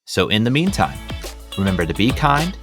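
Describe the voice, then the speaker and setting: A man's voice: on the low side, big pitch swings, normal speed, a bit bright, faint music behind. A man in his thirties hosting a podcast, chatting casually about films in a rambling, emphatic way. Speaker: podcast host. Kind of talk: casual film review chat.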